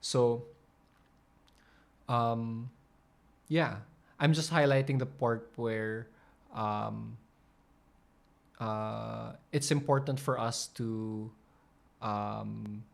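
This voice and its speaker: A man's voice: medium pitch, fairly even intonation, very slow, gravelly, pauses often. A man hosting, talking casually over a video clip, rambling with frequent fillers and restarts as he explains. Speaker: channel host explaining coaching.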